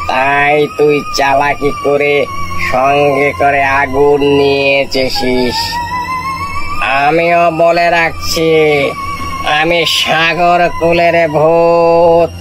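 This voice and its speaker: An actor playing a boastful, menacing fish-eating river ghost in a story cartoon, with drawn-out, taunting threats. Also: male voice, on the high side, huge pitch swings, music in the background, exaggerated voice. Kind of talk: Bengali animated horror storytelling.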